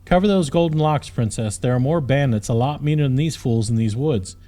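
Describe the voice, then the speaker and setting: A man voicing a gruff, weary retired knight in an audiobook story, curt, impatient and grudging in manner. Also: mid-range voice, brisk, warm tone, soft background music, lightly acted. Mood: brisk.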